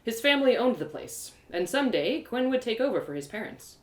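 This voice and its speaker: A woman narrating a first-person story live, in an expressive, theatrical storytelling manner. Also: fairly high pitch, a little fast, reverberant. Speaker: storyteller, audio drama creator.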